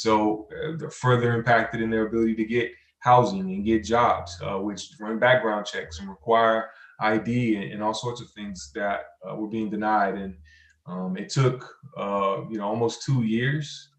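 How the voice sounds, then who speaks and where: Male program director on a panel. Roomy sound, lowish pitch, halting and measured. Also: steady tone. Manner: hesitant, halting, measured phrasing. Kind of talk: explaining advocacy work on a live panel.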